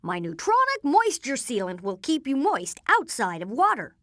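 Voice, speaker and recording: A woman voicing an earnest, brainy boy inventor who leads the team, speaking in precise, technical terms in an animated video game. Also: sits high, normal speed, dry recording, clean studio sound, heavily acted. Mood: explaining, confident. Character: boy genius inventor, earnest, brainy, take-charge young leader.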